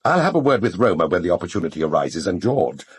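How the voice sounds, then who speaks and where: An urbane, waspish British critic in a radio play. His very low voice is markedly husky and worn, and it swoops through an arch, dry drawl. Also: very animated, fast, exaggerated voice. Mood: arch, curious. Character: languid, waspish male theatre critic, urbane and inquisitive. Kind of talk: radio drama acting.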